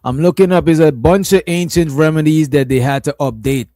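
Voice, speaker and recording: A man's voice: on the high side, a little fast, dark tone, dry recording, studio quality. A man hosting a casual stream, reacting to a clip in a laid-back, profane, rambling way.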